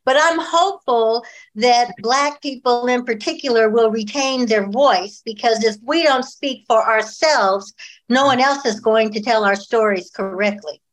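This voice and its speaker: An older woman who has spent a career in radio talks in a high, bright voice. She is plainspoken and hopeful in tone. The sound comes through a video call. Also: unhurried, low-quality recording. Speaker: radio veteran. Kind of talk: panel discussion.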